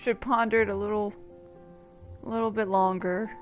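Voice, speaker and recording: A woman's voice: mid-range voice, little pitch movement, moderate pace, phone-quality sound. A woman giving casual, playful and informal reactive commentary while playing a video game. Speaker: gamer commentating on a playthrough. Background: game music.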